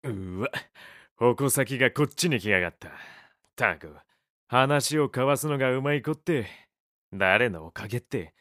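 A gruff café owner in an audio drama. His medium-pitched voice is casual and rough-edged, with a vain streak and a habit of grumbling bluntly. It is recorded very dry. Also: expressive, unhurried, a bit bright, dry recording. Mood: annoyed. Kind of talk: Japanese audio drama acting.

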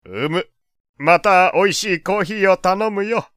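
An actor playing a genial, slightly pompous old gentleman with old-fashioned, avuncular speech in an audio drama. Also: high voice, a little slow, no room sound. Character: genial old gentleman, pompous but kindly family man. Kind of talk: Japanese audio drama acting.

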